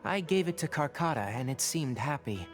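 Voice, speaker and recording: A man's voice: a little high, big pitch swings, normal speed. A man playing a dry young forest watcher and scholar in a video game, speaking in a precise, matter-of-fact way. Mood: measured, reflective. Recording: faint music behind.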